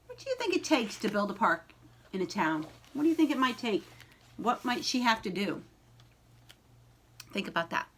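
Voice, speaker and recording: A woman reading a children's picture book aloud in an expressive storytelling style, addressing young listeners directly. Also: on the high side. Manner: animated, pausing to ask young listeners questions.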